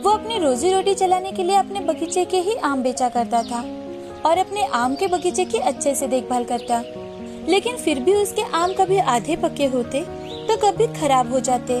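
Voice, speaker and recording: High-pitched woman storyteller over music. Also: animated, moderate pace. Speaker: narrator. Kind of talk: scripted storytelling narration of children's moral tales.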